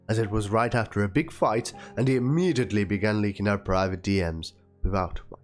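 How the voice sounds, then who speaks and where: A reader giving a theatrical dramatic reading of written messages in an aggrieved, pleading manner. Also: low voice. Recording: music under the voice.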